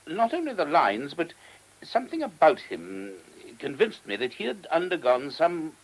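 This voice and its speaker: An actor playing a measured, thoughtful abbot in a radio play, giving considered and sometimes hesitant answers. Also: male voice, on the high side, huge pitch swings, exaggerated voice, rough recording. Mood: reflective, hesitant.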